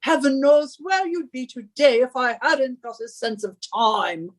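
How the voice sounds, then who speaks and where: A woman's voice: high voice, unhurried. A woman playing an imperious, scornful, middle-aged British wife who scolds and gives orders in a radio suspense drama. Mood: scornful, commanding.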